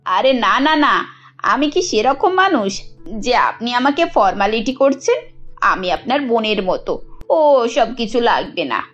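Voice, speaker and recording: Woman acting a scheming, high-voiced Bengali cartoon mother-in-law. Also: sing-song, measured pace, a bit bright, exaggerated voice. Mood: slyly hinting. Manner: insinuating, mock-modest, wheedling. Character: scheming middle-aged mother-in-law, sugary but greedy. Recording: dull lo-fi audio with music.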